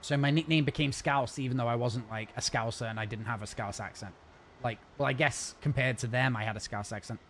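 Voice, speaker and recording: A man's voice: mid-range voice, fairly even intonation, a bit bright, a little hiss or hum. A man chatting casually and rambling while live-streaming a game from home. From north of England. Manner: chatty, rambling, fast-talking. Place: home gaming setup.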